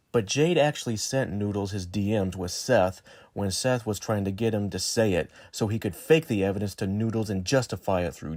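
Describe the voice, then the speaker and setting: Low synthetic male narrator voice, dry. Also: darkish tone, no room sound. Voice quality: synthetic robot voice. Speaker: video narrator. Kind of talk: scripted narration, accusatory exposé.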